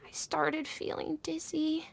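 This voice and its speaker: A sick little child in a fantasy game, with a very high, creaky voice. The words come slowly and plaintively, frightened and innocent, over faint music. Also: female voice, monotone, in a whisper, exaggerated voice. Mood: dazed. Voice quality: weak, creaky small voice. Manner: halting, plaintive. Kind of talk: video game voice acting. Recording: background music behind the voice.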